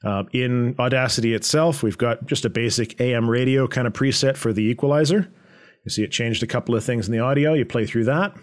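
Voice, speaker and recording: A man presenting a software tutorial in a conversational, explanatory way with a medium-pitched voice. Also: a little fast. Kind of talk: informal software tutorial narration.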